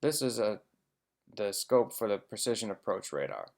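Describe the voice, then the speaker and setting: Low, gravelly man giving a presentation. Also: sing-song, normal speed. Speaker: air traffic controller giving a presentation. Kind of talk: informative slide presentation.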